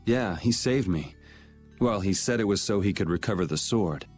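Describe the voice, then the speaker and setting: A man's voice: low voice, lively intonation, normal speed, darkish tone, soft background music. An actor playing a laid-back young swordsman in a video game, speaking in a casual, dry, teasing way. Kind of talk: video game cutscene acting.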